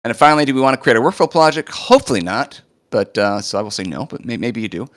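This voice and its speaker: A man presenting a live coding demo, chatting casually with lots of fillers, his voice a bit hoarse as he recovers from illness. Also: medium pitch, fast talker, dry recording, studio quality. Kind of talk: live screen-share walkthrough.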